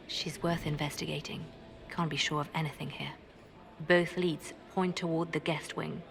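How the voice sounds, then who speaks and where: A woman voicing a shrewd, poised female spymaster in a video game, speaking coolly with a knowing, quietly sardonic edge. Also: low voice, level tone, moderate pace, vocal fry. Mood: briefing. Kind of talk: video game cutscene dialogue. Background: orchestral music.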